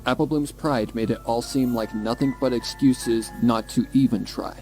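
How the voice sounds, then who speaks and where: A man narrating a dramatic scripted story in a measured, suspenseful manner. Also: mid-range voice, steady tone, dark tone, rough recording. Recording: music behind the voice. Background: music.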